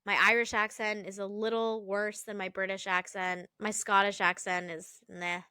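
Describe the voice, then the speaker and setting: A young woman chatting on her podcast, telling stories with a casual swear here and there and quick laughs. Her voice is bright and forceful. It is recorded close and dry, with no room sound. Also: mid-range voice, level tone, smooth, no echo. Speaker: podcast co-host. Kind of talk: casual chat.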